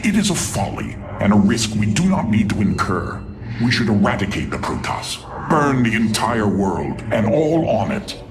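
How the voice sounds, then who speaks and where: A deep, sneering alien warlord. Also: male voice, big pitch swings, moderate pace, music in the background. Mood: menacing. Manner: sardonic, menacing, haughty. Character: ruthless, scornful male alien warlord. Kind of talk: dramatic scripted game cutscene acting.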